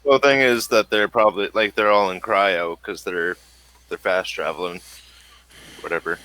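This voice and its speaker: A man in the game master's seat with a very low voice and a slow, easy pace. His tone is bright and a little tense. He lays out the rules in an offhand way. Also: fairly even intonation, clear, resonant tone, rough recording. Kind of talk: running a tabletop game.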